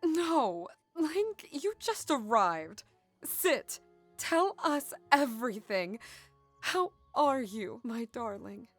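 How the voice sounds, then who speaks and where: A woman's voice: fairly high pitch, very animated, drawn-out words, faint music behind, full character voice. A woman playing a flustered, doting middle-aged mother in an audio drama, fussing and imploring.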